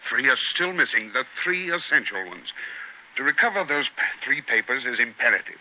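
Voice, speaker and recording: Fretful, pompous government man, mid-pitched, grave and fussy, narrow vintage radio drama sound. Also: very animated, normal speed. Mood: grave, urgent. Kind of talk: 1930s radio drama acting. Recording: tinny, noisy 1930s radio recording.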